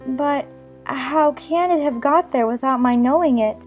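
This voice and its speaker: A woman's voice: high voice, a little slow, soft background music. A woman playing a polite, curious young girl in a dramatized storybook audiobook, on a slightly dull recording. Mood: excited, wondering.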